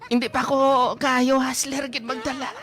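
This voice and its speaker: A woman's voice: high voice, little pitch movement, normal speed, very noisy, lightly acted. A woman with a Visayan accent playing a boastful, bantering best friend in a comedic radio drama. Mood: playful boasting.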